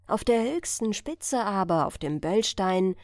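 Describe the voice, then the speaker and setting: A woman narrating a fairy tale as a bedtime audiobook, in a measured storytelling manner. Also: medium pitch, expressive, darkish tone, no echo, clean studio sound.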